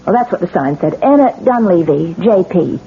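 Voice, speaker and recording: A woman's voice: lowish voice, animated, normal speed. A woman playing a newlywed wife who insists to a doctor and a police lieutenant that she is sane. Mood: guarded. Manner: tense, sharp, pushes back. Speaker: Mrs. Renard, patient in hospital. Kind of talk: 1970s radio drama acting. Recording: tinny lo-fi 1970s radio broadcast with hiss.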